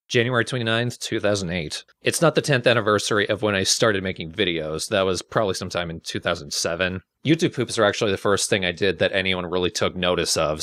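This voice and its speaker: Low-voiced man talking casually. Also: a bit bright, no echo, studio quality. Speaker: video creator, host. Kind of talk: casual personal reflective talk.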